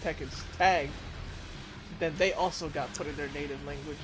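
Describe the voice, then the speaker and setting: High-voiced chatty streamer. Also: male voice, fairly even intonation, music in the background. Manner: chatty, quick to laugh. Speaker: streamer host. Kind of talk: casual gaming stream chat.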